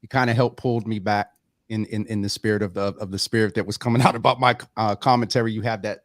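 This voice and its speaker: Host with a dry close sound. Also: male voice, fairly low pitch, steady tone, fast talker, dry recording. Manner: warm, familiar, many fillers. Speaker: talk show host. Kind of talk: casual live talk show.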